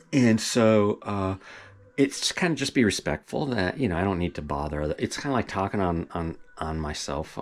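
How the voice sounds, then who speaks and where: A man with a very low, deep voice talks casually about his property. He rambles with plenty of fillers and restarts and chuckles now and then. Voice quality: deep voice that carries. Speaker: vlogger giving a property update. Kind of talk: casual rambling talk to camera. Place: home property in the Pacific Northwest forest.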